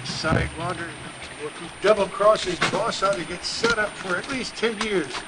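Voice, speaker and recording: High, folksy cowboy sidekick played by a man. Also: moderate pace, lightly acted. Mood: cocky. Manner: folksy, tough-talking. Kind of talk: old-time radio western adventure acting. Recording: live stage recording with clear noise.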